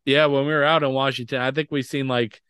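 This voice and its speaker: A male podcast host talks in a relaxed, slangy way and laughs often. His voice is smooth and clear. The mic sounds dry and close. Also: medium pitch, fast talker, dry recording. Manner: laughs a lot, easygoing, slangy. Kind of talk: casual podcast chat.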